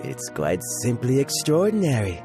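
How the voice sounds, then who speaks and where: A man's voice: mid-range voice, moderate pace, creaky. A man voicing an enthusiastic, appreciative cooking judge in a video game, with music behind him. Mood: impressed. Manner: expressive, appreciative. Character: enthusiastic male cooking contest judge, savoring food. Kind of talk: video game character acting.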